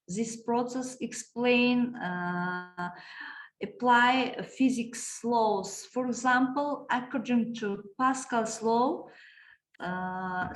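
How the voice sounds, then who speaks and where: Slow, halting woman presenter. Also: a little high. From Kazakhstan. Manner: halting, searching for words, many hesitations. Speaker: teacher presenting a project. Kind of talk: conference presentation. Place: conference room. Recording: echoey hall.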